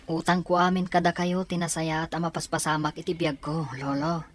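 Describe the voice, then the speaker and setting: A woman's voice: low voice, flat delivery, fairly quick, close to an everyday voice. A woman with an Ilocano accent playing a caring, devoted young student in a radio drama. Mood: grateful, affectionate.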